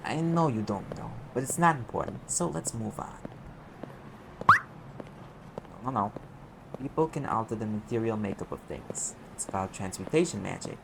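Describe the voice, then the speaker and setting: A man's voice: fairly low pitch, expressive, a little fast, gravelly, very noisy. A man playing a sarcastic, impatient private eye mage in an audio roleplay, explaining things in a conversational, exasperated way. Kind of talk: audio roleplay acting, one side of a dialogue.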